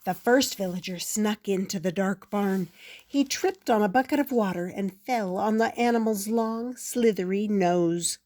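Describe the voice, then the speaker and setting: An older woman reads a children's picture book aloud in a slow, gentle, expressive way. Her voice is mellow and soft-edged, and the recording is close and dry with no room sound. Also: mid-range voice, no echo. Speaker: storyteller.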